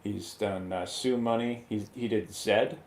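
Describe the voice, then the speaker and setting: In an echoey, slightly noisy room, a man chats casually as a panelist. His voice sits fairly low and has a lot of creak in it. The talk is loose, conversational film review. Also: steady tone, a little slow. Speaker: show panelist. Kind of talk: casual movie review chat.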